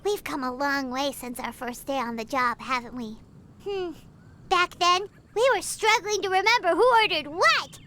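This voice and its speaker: A woman's voice: high-pitched, moderate pace, background noise, lightly acted. A woman voicing a tiny floating fairy girl companion who is chatty and nosy and talks about herself by name. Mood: chirpy. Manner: chirpy, speaks of herself by name. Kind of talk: game voice acting.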